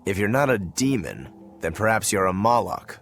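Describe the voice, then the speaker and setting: Wry laid-back young fighter in a game cutscene, low voice w lots of bounce, curious. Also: male voice, sing-song, moderate pace, a bit bright, soft background music. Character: easygoing, wry young male demon swordsman. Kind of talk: video game cutscene acting.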